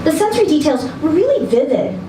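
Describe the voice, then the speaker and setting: A woman's voice: sits high, sing-song, fast, voice pushed up. A woman voicing an earnest, hesitant young female student giving tentative, questioning critique in a story reading. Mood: eager praise. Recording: echoey noisy room, distant event video mic.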